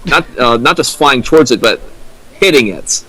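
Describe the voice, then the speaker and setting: A man's voice: mid-range voice, lively intonation, moderate pace, pushed and bright, breathy edge, poor audio. A man giving casual, critical commentary as a co-commentator, with a dry manner.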